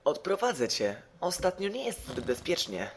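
A woman's voice: low-pitched, very animated, normal speed, noisy background. A woman playing a kind, modest, considerate teenage boy in an anime fan dub. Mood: considerate, apologetic.

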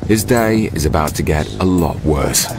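A man narrates a documentary in a very low voice. His scripted delivery is measured and suspenseful, over loud backing music. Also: expressive.

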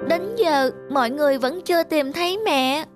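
A woman voicing a sweet, polite little boy in an animated moral tale, childlike and respectful. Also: high-pitched, moderate pace, voice pushed up, natural voice. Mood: sad. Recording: clear background music throughout.

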